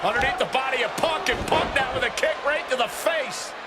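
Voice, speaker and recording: Very high-voiced male wrestling announcer, noisy arena. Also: fairly quick. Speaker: wrestling commentator. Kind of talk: excited live-style sports commentary in a video game. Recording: very noisy arena with roaring crowd. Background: loud wrestling crowd.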